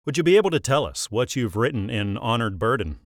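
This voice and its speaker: A man's voice: medium pitch, big pitch swings, brisk, no room sound, studio quality. A man hosting an author interview show in a polished radio style, with an upbeat announcer's delivery.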